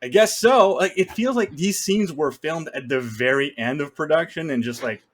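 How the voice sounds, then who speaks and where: A man in a casual film discussion, talking bluntly and griping in an animated way with mild swearing. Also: a little high, moderate pace. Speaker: podcast co-host.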